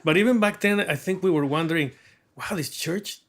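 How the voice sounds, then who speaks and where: A middle-aged man from Mexico speaking as an interviewed artist, telling reflective stories in a halting, thoughtful way with wry humour. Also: high voice. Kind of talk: reflective conversational storytelling.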